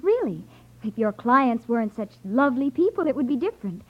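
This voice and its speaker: A spirited office girl Friday in a vintage radio drama. Her highish voice swings expressively as she nags and needles with sarcasm, on a lo-fi recording. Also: big pitch swings, brisk, breathy edge. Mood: sarcastic. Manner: outspoken, sarcastic. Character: spirited, nagging, sarcastic female detective's secretary. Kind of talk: 1950s radio drama acting. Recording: dull lo-fi 1950s radio recording.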